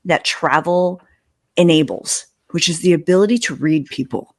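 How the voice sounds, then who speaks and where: A woman's voice: fairly low pitch, moderate pace. A woman hosting a casual conversation, speaking emphatically and acting out other people's reactions. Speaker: interview host. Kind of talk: casual chat.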